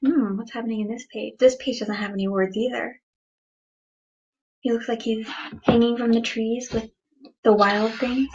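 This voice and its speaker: A woman reading a picture book aloud as a teacher to children, deliberate and expressive, stressing words for young listeners. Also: a little high, dull audio, slight background noise.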